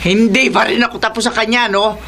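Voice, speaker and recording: An actress playing an angry, mocking bakery owner in a radio drama, scolding loudly and sarcastically. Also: female voice, a little high, steady tone, fairly quick, vocal fry, a little hiss or hum. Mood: mocking, threatening.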